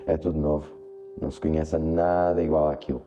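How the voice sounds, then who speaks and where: A man's voice: very deep voice, little pitch movement, brisk, dull audio, soft background music. A man playing a storyteller of ancient mysteries in a radio drama. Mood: musing. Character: male storyteller evoking ancient monuments and guardians. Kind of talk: dramatic spoken-word narration in a radio drama.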